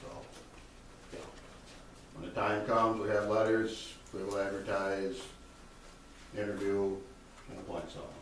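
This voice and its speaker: A man chairing a town board meeting, answering questions off the cuff in a halting way, with hesitant fillers. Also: fairly low pitch, monotone, a little hiss or hum. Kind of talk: off-the-cuff reply at a public meeting. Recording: very echoey room, far from the mic.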